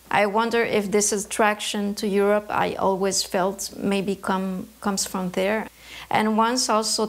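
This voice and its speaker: Thoughtful woman from Canada. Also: mid-range voice. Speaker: embroidery artist interviewee. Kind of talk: reflective interview answers.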